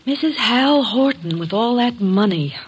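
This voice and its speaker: An actress playing a pert young nurse, arch and teasing, in an old-time radio drama. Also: female voice, on the high side, expressive, measured pace, husky, exaggerated voice. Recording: noisy vintage radio recording.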